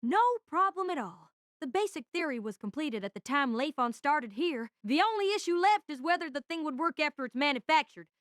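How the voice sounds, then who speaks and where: A reader putting on a squeaky, chattering young inventor. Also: very animated, brisk, a bit bright, no room sound, clean studio sound. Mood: eager. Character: excitable young male alchemy student and inventor.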